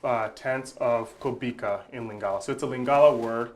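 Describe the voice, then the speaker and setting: A man from Congo speaks as a podcast guest in a steady, middle-pitched voice. He is earnest and reflective, and often repeats a word or two while he gathers his thoughts. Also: fairly quick, background noise. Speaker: guest, nonprofit founder. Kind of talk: interview answers.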